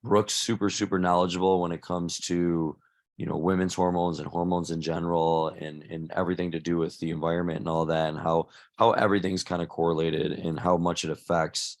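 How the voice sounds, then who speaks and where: Male coach host, very low steady voice, loose rambling intro. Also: fairly quick. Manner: rambling, many restarts and fillers. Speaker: fitness coach hosting a workshop. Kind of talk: casual live introduction. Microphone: video call.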